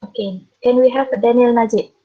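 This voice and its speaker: A Malaysian woman lecturer runs a brisk online roll call, her voice sitting a bit high. The call audio is muffled and lo-fi, and she laughs easily between names. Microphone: video call.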